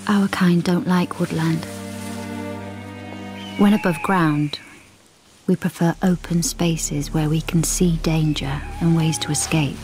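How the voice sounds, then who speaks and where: An actress playing a grave rabbit storyteller in a radio drama, narrating in a hushed, measured way. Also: female voice, low voice, fairly even intonation, music in the background.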